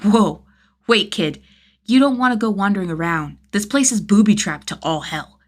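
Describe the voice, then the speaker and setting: A woman's voice: medium pitch, animated, moderate pace, voice pushed up, lightly acted. A woman voicing a weary, battered male outlaw in an audiobook story, blunt but protective. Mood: concerned. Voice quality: rasping. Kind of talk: acted audiobook dialogue.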